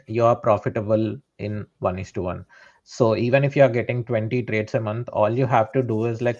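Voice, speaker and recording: A man's voice: lowish voice, darkish tone. Man, muffled lo-fi audio. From India. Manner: explanatory, checks in with "right?". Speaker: trader. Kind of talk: live trading session explanation.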